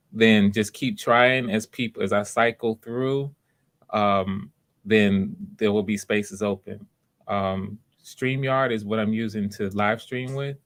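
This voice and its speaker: A man hosting live voice auditions, talking casually and encouragingly to the people taking part. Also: lowish voice. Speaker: host running voice auditions. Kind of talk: casual livestream talk.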